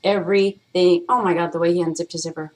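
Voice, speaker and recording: A woman talking straight to camera in a loose, rambling vlog chat. Her voice sits a touch low for a woman. She swears freely and keeps slipping into playful put-on voices. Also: fairly even intonation, fast talker, a little mellow. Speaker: vlog host.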